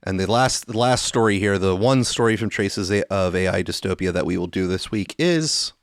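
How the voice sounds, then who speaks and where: Male host, on the deep side, casual, hesitant delivery that circles and stumbles, little room sound. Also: moderate pace, no echo, clean studio sound. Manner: hesitant, stammering, roundabout phrasing. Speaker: podcast host. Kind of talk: casual chat.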